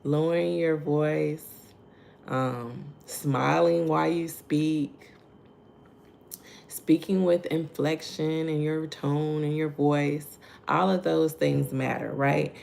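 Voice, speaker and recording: A soft-spoken woman with a Texan accent, leading a slow, conversational morning devotional and greeting viewers by name. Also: low-pitched, fairly even intonation, gravelly, slight background noise. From Texas. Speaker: devotional host. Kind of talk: live-streamed morning devotional.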